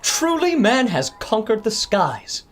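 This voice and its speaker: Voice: mid-range voice, wildly swooping pitch, takes it slowly, soft background music. An actor playing an awestruck, courteous doctor of science with a formal, theatrical manner in a radio adventure. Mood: astonished. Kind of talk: old-time radio adventure acting.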